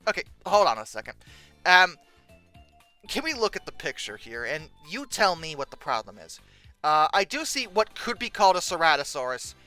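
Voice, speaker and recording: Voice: medium pitch, pushed and bright, no room sound. A host giving casual comedic commentary in an exasperated, sarcastic and incredulous manner. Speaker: host reacting to old book text and pictures. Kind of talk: casual comedic commentary with read-aloud passages.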